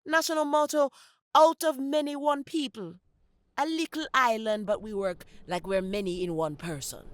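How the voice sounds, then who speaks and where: An actress playing a proud, sharp-tongued, working-class Jamaican college student, fed up and sardonic, in a theatrical audio-play monologue. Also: female voice, mid-range voice, natural voice. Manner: sardonic, animated, theatrical storytelling.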